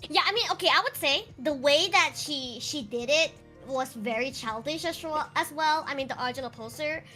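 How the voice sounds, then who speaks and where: A woman with a high, sharp, bright voice chats casually on a stream. She reacts emphatically and animatedly to what she hears. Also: normal speed, faint music behind. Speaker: streamer co-host.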